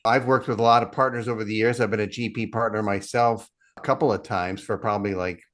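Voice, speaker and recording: Middle-aged man, low pitch, steady self-deprecating guest on a call. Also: fast talker. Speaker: partnerships head, guest. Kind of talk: casual interview chat.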